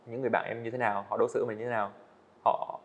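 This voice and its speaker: Fast-talking earnest eighteen-year-old man. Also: lowish voice, flat delivery. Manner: earnest, storytelling, answers politely with "dạ vâng". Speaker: guest, animator. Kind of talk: podcast interview. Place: indoor studio set.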